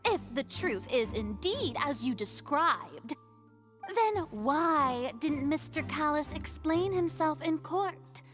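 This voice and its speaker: A high female voice for a formal young courtroom questioner in a video game. She is theatrical and deliberate, with wide swings in pitch and a slow pace. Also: lively intonation, over music. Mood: measured, probing.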